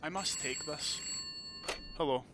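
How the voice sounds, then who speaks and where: A man's voice: a little high, a little slow, heavy background noise, close to an everyday voice. A man playing an authoritative vampire prince in an audio drama, formal, courteous and imperious. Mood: businesslike, composed.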